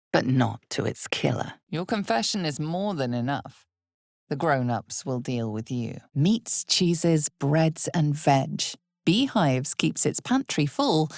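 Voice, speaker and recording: The all-knowing narrator of a dark fantasy video game. Her voice is deep for a woman, with a gravelly creak and a breathy huskiness. She tells it with grave, deliberate, ominous drama in a close, dry sound. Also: no echo, full character voice. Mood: measured, solemn.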